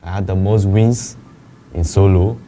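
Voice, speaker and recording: A young man, a native Chinese speaker, answering interview questions hesitantly and softly. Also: low-pitched, steady tone, measured pace, slight background noise. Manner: hesitant, soft-spoken, laughs shyly. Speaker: esports player guest.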